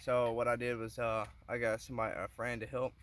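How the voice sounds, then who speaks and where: A man giving a casual hands-on how-to, informal and halting as he thinks aloud while working, recorded on a handheld phone inside a car. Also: medium pitch, flat delivery, a bit bright. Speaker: car DIY demonstrator. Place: inside a car, close quarters.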